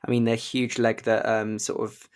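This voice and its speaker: A man's voice: medium pitch, completely flat delivery, moderate pace. A man hosting a casual interview, talking in an enthusiastic, fannish way. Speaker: interview host.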